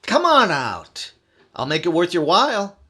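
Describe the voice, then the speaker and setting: A man's voice: high-pitched, wildly swooping pitch, a little slow, sharp tone, slightly rough, exaggerated voice. A man acting in an old-time radio thriller as a desperate, scheming murderer who is urgent and commanding, by turns wheedling and menacing. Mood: pressing, menacing. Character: desperate, scheming male murderer trying to strike a bargain.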